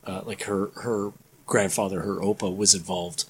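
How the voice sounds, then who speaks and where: A man's voice: sits low, steady tone, normal speed, breathy edge. Rambling man hosting a podcast. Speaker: podcast host. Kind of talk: casual podcast conversation.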